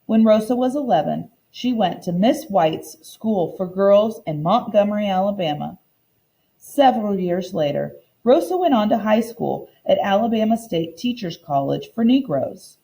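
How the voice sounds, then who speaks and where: A woman's voice: medium pitch, animated, normal speed, creaky, warm tone, rough recording. A woman teacher reading a children's book aloud and explaining it in simple terms for young listeners.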